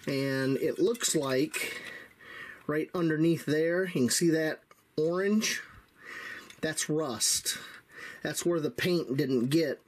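A middle-aged man explaining things in a casual, folksy, deliberate way, like a hands-on product reviewer. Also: a little high, a little hiss or hum.

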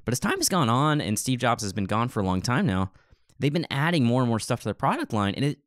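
A man's voice: medium pitch, sing-song, fast talker, fairly bright tone, dry recording, studio quality. A man co-hosting a podcast, chatting and commenting casually. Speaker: podcast co-host.

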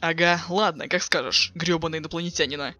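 A man voicing a cool, sarcastic teenage boy with offhand deadpan delivery in a webcomic dub. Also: fairly high pitch, fairly quick, soft background music. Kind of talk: fan dub of a webcomic chat.

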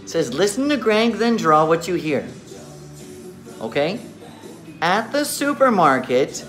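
Middle aged guy teacher talking kids thru worksheets, slow n encouraging, bit muffled by a mask, faint music. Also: a little high. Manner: encouraging, slow deliberate enunciation for children. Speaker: children's English teacher. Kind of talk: talking young students through worksheets on camera. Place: indoor classroom. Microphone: phone or camera at arm's length.

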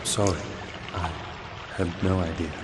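A man playing a calm, concerned ally in a video game, speaking in a serious, measured way. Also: low voice, over music. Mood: sympathetic. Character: calm, concerned male ally of a vampire hunter. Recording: noisy ambience, trickling water behind.

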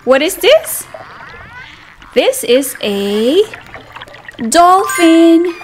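Woman, children's teacher, picture-book read-aloud, very slow, sing-song and swooping, music behind. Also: sits high. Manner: sing-song, exaggerated, repeats words, asks the child questions. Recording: voice over background music.

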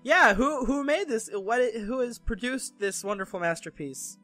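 Brief: bright, high-pitched man cracking jokes over a game, music faint behind. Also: lively intonation, moderate pace. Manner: jokey, bantering. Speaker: let's play host. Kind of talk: casual gaming chat.

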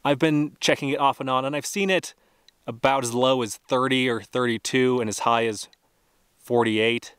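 A man's voice: mid-range voice, fairly even intonation, moderate pace, fairly bright tone, clean tone, dry recording, studio quality. A young adult man narrating a casual outdoor travel vlog, easygoing and thinking aloud, with small laughs as he goes. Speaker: travel vlogger. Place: outdoors at a remote test site.

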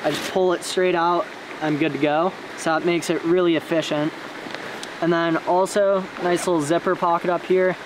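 A young man showing his fishing gear outdoors by a creek, talking in a relaxed, enthusiastic way with casual slang. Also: a little high. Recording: creek water noise behind.

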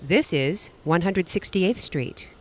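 A man's voice: sits high, animated, slow, highs cut off. A man reading scripted transit announcements as a train announcer, in formal, segmented phrasing.

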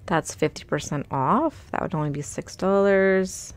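A woman's voice: fairly low pitch, a little slow. A woman vlogging as she walks through a store, chatting upbeat and reading out prices on a handheld phone. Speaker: shopping vlogger. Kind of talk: casual shop-with-me narration. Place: inside a large retail store.

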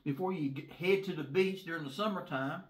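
A man's voice: on the high side, a little fast, recorded from a distance. A man in his fifties with a Southern US accent, chatting casually as co-host of a beer review. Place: small indoor room, seated at a table. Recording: roomy echo.

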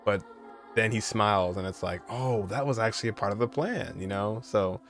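Low-voiced young American man, casual. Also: normal speed, a bit bright, faint music behind. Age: 20s. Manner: conversational, repeats "you know" and "like". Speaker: gaming streamer. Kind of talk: casual reaction commentary. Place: indoor room, streaming setup.

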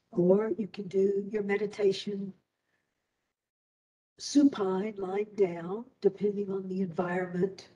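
A woman teacher leading a guided meditation in a soothing, deliberate way, leaving long pauses. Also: medium pitch, darkish tone. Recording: distant microphone.

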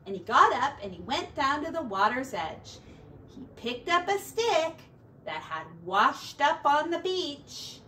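A middle-aged woman, a teacher reading a picture book to children in an expressive, deliberate way, recorded indoors from a few feet away. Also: high voice. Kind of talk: animated children's storybook read-aloud. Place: small home room, indoors. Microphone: camera a few feet away. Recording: distant camera mic, faint background noise.

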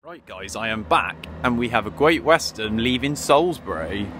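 A man's voice: medium pitch, expressive, moderate pace. Young man, chatty vlogger, phone held at arm's length, outdoor station platform, faint traffic noise behind. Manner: chatty, eager, addresses viewers directly. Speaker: trainspotting vlogger. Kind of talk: casual vlog commentary. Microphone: phone camera held at arm's length. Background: faint outdoor traffic and station ambience.

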